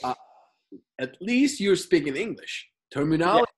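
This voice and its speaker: Turkish-accented man, animated interviewer. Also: high-pitched, normal speed, husky. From Turkey. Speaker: basketball skills trainer, interviewer. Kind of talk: casual conversation.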